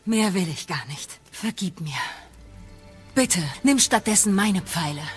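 Casting: woman as stern but caring witch, mid-pitched, unhurried, commanding, audio drama with faint music. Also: expressive, natural voice. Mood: calm, focused. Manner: measured, commanding. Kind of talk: German audio drama acting.

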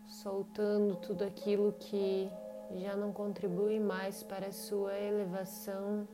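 A woman with a Brazilian accent leads a guided meditation in short, unhurried phrases separated by long pauses. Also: medium pitch, monotone. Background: meditation music with singing bowls.

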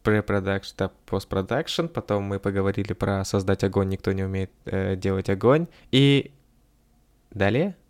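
A man's voice: low voice, moderate pace, a little mellow. A man hosting a podcast in casual chat, jokey and slangy, often repeating his words. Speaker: podcast host.

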